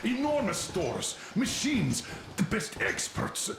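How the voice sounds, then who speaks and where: An actor playing a stern middle-aged colonel leading survivors, in a video game cutscene. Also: male voice, high-pitched, wildly swooping pitch, slow pace, creaky, fairly bright tone, roomy sound, slight background noise, full character voice. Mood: elated. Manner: stern and commanding, turning elated and hopeful. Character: gruff middle-aged male military colonel, stern leader. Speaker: colonel commanding a train crew.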